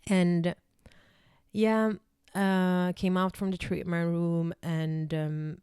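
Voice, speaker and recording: A woman's voice: on the low side, level tone, very slow, mellow, clean tone, no echo, clean studio sound. A young woman from Finland whose first language is Swedish, telling a personal story in a rambling way, full of fillers and restarts. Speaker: vlogger.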